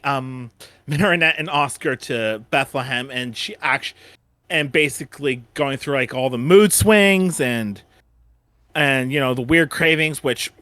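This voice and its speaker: A man chatting casually about past work, halting and circling back over his phrases. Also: mid-range voice, sharp tone, dry recording. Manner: halting, repeats phrases as he goes. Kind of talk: casual retrospective discussion.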